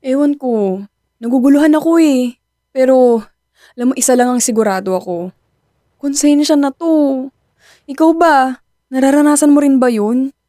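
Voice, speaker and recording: An actress as a high-voiced, guilt-ridden young Filipino woman. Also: normal speed, long pauses, dark tone, dry recording, clean studio sound, lightly acted. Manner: fretful, pleading. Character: anxious, guilt-ridden young woman confiding in her boyfriend. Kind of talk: emotional radio drama dialogue.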